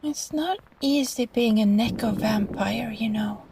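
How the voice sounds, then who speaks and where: Woman acting a slow, high-voiced clingy catgirl vampire. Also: background noise. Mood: wistful, self-pitying. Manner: soft, halting, pleading, breaking into sighs and small laughs. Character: clingy yandere female catgirl vampire, sweet but possessive. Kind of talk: intimate ASMR roleplay monologue.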